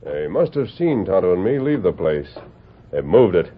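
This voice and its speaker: Commanding low-voiced cowboy hero, tinny old radio. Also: male voice, big pitch swings, normal speed, exaggerated voice. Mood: firm, composed. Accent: American. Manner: firm, commanding, clearly spoken. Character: commanding, upright masked cowboy hero, calm and authoritative. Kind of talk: 1940s radio western acting. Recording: tinny, noisy vintage radio recording.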